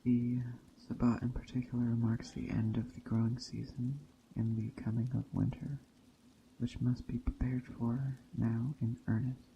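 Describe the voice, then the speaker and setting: A man performs a gentle witch in a hushed ASMR roleplay. His voice is dark and creaky, and he speaks very slowly and deliberately, drifting into whispers. Faint nature ambience sits behind him. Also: medium pitch, little pitch movement. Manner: hushed, slow, deliberate, often slipping into whisper. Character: gentle pagan witch teaching a traveler about a holiday. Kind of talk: ASMR roleplay, soft-spoken character monologue. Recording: dull muffled audio, faint ambient noise. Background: soft nature ambience of rain and forest.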